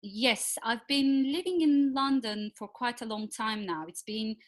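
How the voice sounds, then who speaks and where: Woman, fairly high voice. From Turkey. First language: Turkish. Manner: thoughtful, frequent filler pauses. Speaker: guest, executive coach. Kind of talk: podcast interview.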